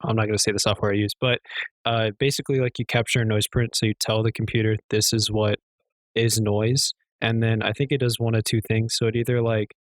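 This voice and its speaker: A young man co-hosting a casual podcast, with a quiet, slightly hoarse voice, rambling and joking with plenty of swearing. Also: lowish voice, flat delivery, fairly quick, dry recording. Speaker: podcast co-host. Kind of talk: casual chat.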